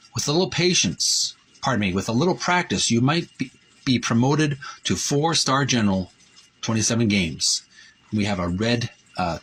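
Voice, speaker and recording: A man hosting a video channel, narrating a show-and-tell of a book, reading copy aloud and pausing between short phrases. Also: mid-range voice, fairly bright tone, a little hiss or hum, low-quality recording.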